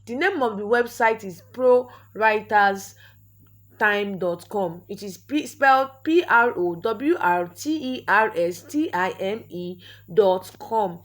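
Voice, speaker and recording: A woman's voice: mid-range voice, animated, slow pace. A young Nigerian woman, a native Yoruba speaker, talking through an online sign-up form step by step in a chatty way, often repeating words and restarting. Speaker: vlogger. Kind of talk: screen-recorded tutorial walkthrough.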